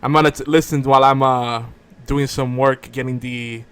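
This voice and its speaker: A man's voice: mid-range voice, fairly even intonation, moderate pace, smooth. A man hosting a live show with casual, off-the-cuff banter. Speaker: host.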